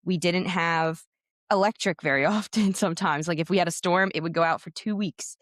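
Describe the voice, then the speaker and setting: A woman's voice: lowish voice, brisk, dry recording, clean studio sound. A woman in her thirties with a Pennsylvania American accent, talking casually as a guest author and podcaster, chatty and full of fillers. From United States, Pennsylvania. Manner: chatty and talkative, full of "like" and "you know". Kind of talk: casual interview chat.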